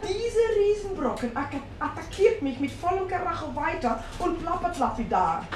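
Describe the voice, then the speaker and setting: A woman's voice: very high voice, very animated, moderate pace, slight background noise. A woman with a Dutch accent reading a humorous story aloud, playful and self-mocking, with exclamations. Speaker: comic storyteller. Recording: roomy with faint ambience.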